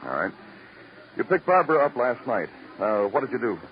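An actor playing a terse, world-weary police detective in an old radio crime drama, with clipped questions and brooding staccato narration. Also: male voice, medium pitch, very animated, fairly quick, slight background noise, natural voice. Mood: probing. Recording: muffled old radio broadcast, loud music.

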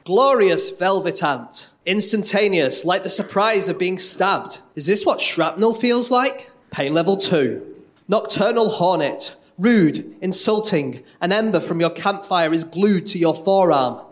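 A man's voice: high-pitched, lively intonation, unhurried. A man performing short poetic descriptions as a dramatic live reading. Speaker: live event reader.

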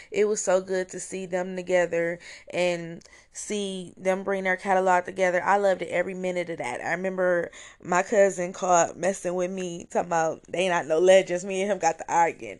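Hoarse young woman, solo podcast host, low and even-toned, chatty and enthusiastic. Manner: chatty, enthusiastic, with fillers and restarts. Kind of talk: casual solo review podcast. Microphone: home recording, voice message style.

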